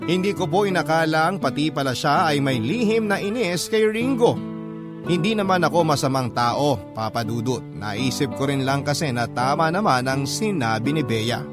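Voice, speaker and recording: A man reading a first-person letter as a radio storyteller, in measured short phrases. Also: fairly high pitch. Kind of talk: first-person scripted letter narration, classic radio style. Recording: clear guitar music bed underneath. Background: guitar music.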